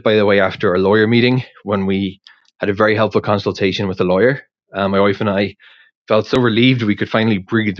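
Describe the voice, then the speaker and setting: A man's voice: fairly low pitch, dry recording. A native English-speaking man rambling casually into a voice recorder, hesitant and circling back over his words. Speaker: podcast creator sending in a question. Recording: dull, dry lo-fi voice recording.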